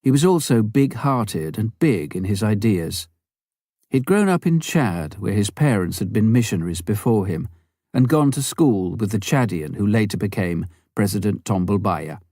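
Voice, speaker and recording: A man's voice: fairly low pitch, animated, normal speed, dark tone, dry recording, clean studio sound. A man narrating a memoir as a scripted audiobook reading.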